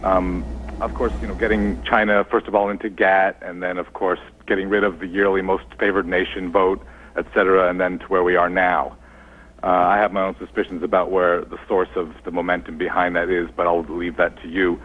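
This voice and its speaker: A man's voice: deep voice, little pitch movement, brisk. A man calling in to a TV show, asking questions in a hesitant way with many fillers. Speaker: caller. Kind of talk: call-in question. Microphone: telephone. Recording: tinny phone line.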